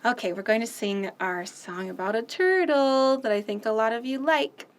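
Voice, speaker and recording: A woman hosting a children's storytime, reading picture books aloud gently and asking the kids questions. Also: on the high side. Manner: gentle, slow, sing-song, asks children questions.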